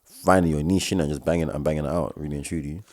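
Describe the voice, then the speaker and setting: Young South London man, very low and mellow voice, fast slangy co-host who stammers and repeats. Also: steady tone. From South London, England. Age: young adult. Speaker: podcast co-host. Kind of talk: casual chat.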